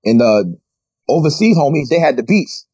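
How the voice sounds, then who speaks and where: Host: man, husky, mellow, dry close sound, slangy and repetitive. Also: fairly high pitch, sing-song, moderate pace, dry recording. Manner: loose slangy, repeats phrases. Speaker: podcast host. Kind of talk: casual hip hop chat.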